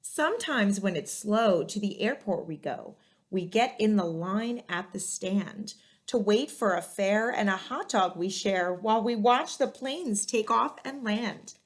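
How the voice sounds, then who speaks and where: A woman hosting a children's read-aloud, speaking in clearly phrased storytelling style. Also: mid-range voice, expressive, unhurried. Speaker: museum educator and read-aloud host. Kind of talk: reading a children's picture book aloud.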